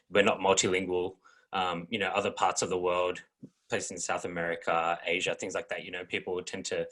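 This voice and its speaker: A man's voice: deep voice, fairly bright tone. An Australian man co-hosting a podcast, asking the guest long, rambling, curious questions. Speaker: podcast co-host. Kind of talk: casual interview questions.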